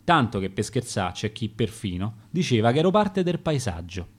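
A chatty dead neighbour woman talks of her window days, as a man reads her in a Roman accent. She is gossipy and easy, her pitch lively. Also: normal speed, husky.

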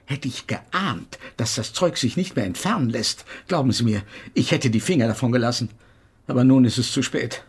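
An actor playing an invisible male physics professor in a German radio drama, haughty and exasperated, lecturing with pedantic precision in a husky voice. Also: mid-range voice, lively intonation, normal speed.

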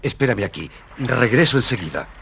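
A man's voice: mid-range voice, animated, a little fast, vocal fry, fairly bright tone, a little hiss or hum. An actor playing a generous, friendly man of the sea in a children's story recording. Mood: warm, generous.

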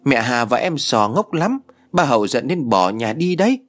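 A man's voice: mid-range voice, very animated, normal speed, close to an everyday voice. A man voicing a chatty, clingy little girl in a read-aloud story, pleading in a whiny child's way. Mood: insistent.

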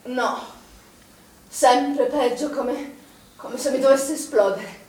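An actress playing a troubled, grief-haunted middle-aged woman in an Italian stage drama, with anguished, heavily paused delivery. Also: high voice, a little mellow. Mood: uneasy, evasive. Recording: distant echoey stage recording with background noise.

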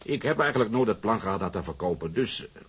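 A gruff old skipper in a period drama, with a deep, very husky voice. He sounds shrewd and in command, swinging in pitch as he bargains and hesitates. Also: animated, quick pace. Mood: gruff, businesslike. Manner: gruff, hesitant, throat-clearing authority. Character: commanding old skipper, shrewd but generous boat owner. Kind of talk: Dutch period drama acting.

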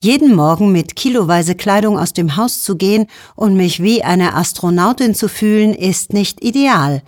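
A woman's voice: mid-range voice, expressive, moderate pace, darkish tone, dry recording, studio quality. A woman from Mexico, a native Spanish speaker, reading a scripted first-person column in German as narration. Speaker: magazine author reading her column.

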